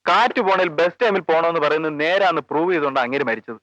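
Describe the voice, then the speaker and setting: A man's voice: on the high side, very fast, fairly bright tone, no room sound, muffled recording, close to an everyday voice. A man playing a teasing, joking friend of a police officer in a 1980s film drama. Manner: teasing, playful.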